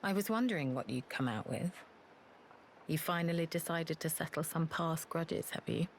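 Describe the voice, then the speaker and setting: An actress playing a proud, guilt-burdened former assassin in a video game, with a curt, formal way of talking. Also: female voice, low-pitched, normal speed, slight background noise, poor audio. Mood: dry, wry. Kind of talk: video game cutscene acting.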